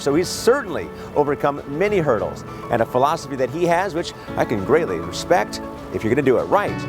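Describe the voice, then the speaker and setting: A man hosting an interview show, asking questions in a low, measured tone. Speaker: host. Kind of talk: interviewer, upbeat opener to camera.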